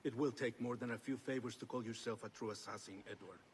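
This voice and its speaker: An actor playing a stern, measured male assassin mentor with a grave delivery in a game cutscene. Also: mid-range voice, steady tone, a little hiss or hum, natural voice. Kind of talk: video game cutscene acting.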